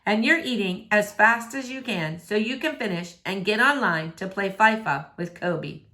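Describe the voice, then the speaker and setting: A woman's voice: mid-range voice, animated, normal speed, bright, forceful tone. A woman reading a novel in verse aloud, narrating expressively.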